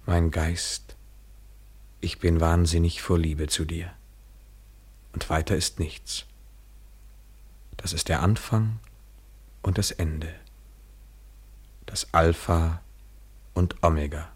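Deep-voiced, solemn husky lover. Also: male voice, steady tone, slow, pauses often, a little mellow, heavily acted. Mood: solemn, devoted. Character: grave, devoted man passionately in love. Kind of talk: poetic, solemn radio play acting.

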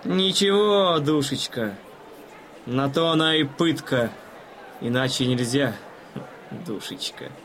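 An actor playing a smug, patronizing gentleman who explains things in a mannered way in an audio drama. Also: medium pitch, takes it slowly, pauses often, some chatter behind, a little hiss or hum, poor audio. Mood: smug, callous. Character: smug, condescending gentleman spectator courting a young lady.

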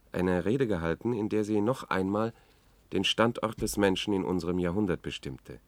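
An actor playing a troubled news editor, recounting events in a measured, reflective way in a 1970s German radio drama. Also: male voice, deep voice, dry recording. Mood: brooding. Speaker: radio play narrator.

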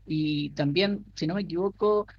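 A man co-hosting a podcast, casually recommending a book in a halting way, full of fillers and restarts. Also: on the high side, highs cut off, slight background noise. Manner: halting, many fillers and restarts while explaining. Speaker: podcast co-host.

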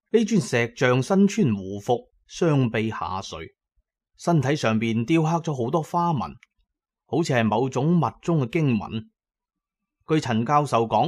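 A male audiobook narrator with a Cantonese accent reads a scripted adventure tale. He tells it in the first person, as a storyteller would. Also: medium pitch.

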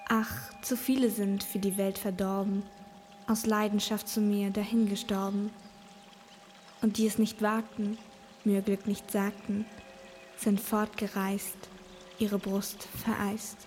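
Woman acting cool, even-toned, alluring beloved. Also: mid-range voice, slow pace, lightly acted. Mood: aloof. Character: cool, alluring, proud female beloved of a poet. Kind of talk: poetic German radio drama. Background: music.

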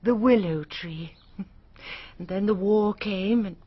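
An actress playing a nervous, chatty, genteel middle-aged English spinster in a radio drama, fluttery and hesitant, with a breathy, husky voice. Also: female voice, medium pitch, slow, muffled recording. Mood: genteel, wistful.

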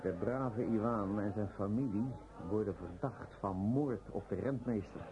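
Actor as a low-voiced, mercenary tavern informant. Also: male voice, measured pace, lightly acted. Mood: knowing. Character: shrewd, mercenary male informant in an inn. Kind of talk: radio drama acting. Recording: muffled radio drama, crowd sounds behind.

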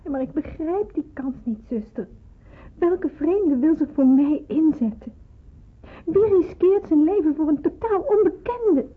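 An actress playing an anxious, questioning young nurse in a Dutch wartime radio play, speaking hesitantly and deferentially. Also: female voice, high voice, expressive, unhurried. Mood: distraught, doubting. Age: young adult. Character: anxious, questioning seventeen-year-old nurse in wartime hiding. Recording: muffled, phone-like old radio recording.

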